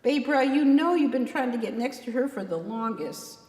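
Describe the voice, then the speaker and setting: Woman as a teasing, high-pitched teenage boy. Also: animated, normal speed, darkish tone, lightly acted. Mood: goading. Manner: needling, folksy rural dialect. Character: teasing, excitable teenage boy egging on his friend. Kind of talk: acted reading of a historical novel. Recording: echoey room with long reverb.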